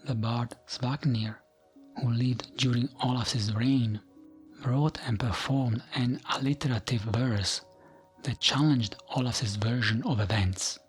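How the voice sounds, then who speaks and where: A man narrating fantasy lore as soft ASMR, gentle and soothing, with a breathy voice that often nears a whisper. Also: mid-range voice. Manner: gentle, soothing, unhurried storytelling.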